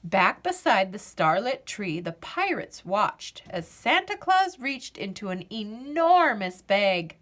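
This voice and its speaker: A woman reading a children's picture book aloud as storyteller, in an expressive, deliberate manner. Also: medium pitch.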